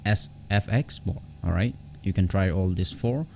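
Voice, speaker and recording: A man hosting an unscripted software tutorial in a halting, repetitive way, often restarting his phrases. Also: low voice, creaky, a little hiss or hum. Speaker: tutorial host.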